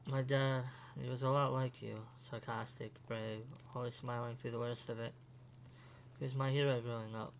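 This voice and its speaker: A man playing an earnest, guarded, quietly supportive young man in a fan audio drama, speaking in a measured way. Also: mid-range voice, little pitch movement, creaky, close to an everyday voice. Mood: wistful. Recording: faint hum behind the voice.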